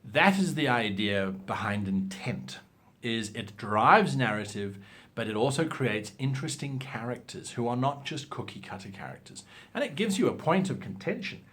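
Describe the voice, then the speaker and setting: Expressive man giving instructional talk. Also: medium pitch. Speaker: host of a role-playing advice show.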